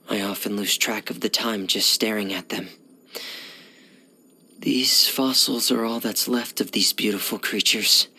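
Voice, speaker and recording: A man playing a shy, gloomy teenage boy diver in video game voice lines, speaking softly and hesitantly in a hushed, breathy voice. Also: lowish voice, slight background noise, heavily acted. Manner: hesitant, reserved, subdued.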